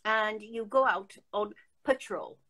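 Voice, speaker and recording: A woman's voice: medium pitch, takes it slowly, a bit bright, rough recording. An older woman hosting a casual chat over a video call from her home, emphatic and full of restarts. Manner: chatty, emphatic, many restarts. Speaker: host. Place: home room.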